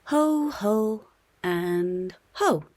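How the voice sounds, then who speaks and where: A woman voicing a patient, playful cartoon dog father with a gentle, reasoning manner in a children's storybook. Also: mid-range voice, expressive, drawn-out words, no room sound, voice pushed up.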